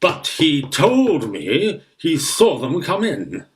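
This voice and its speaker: An actor playing a pompous, irritable older guardian uncle in a Victorian farce, stiff and indignant. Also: male voice, fairly high pitch, huge pitch swings, slow, heavily acted. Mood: pompous impatience. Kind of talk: Victorian farce radio acting.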